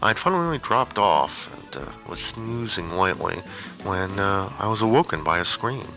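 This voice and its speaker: A man's voice: low-pitched, sing-song, normal speed, over music. A man giving an informal, rambling personal monologue with a hesitant, digressive and wry way of talking. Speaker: storyteller on an audio zine.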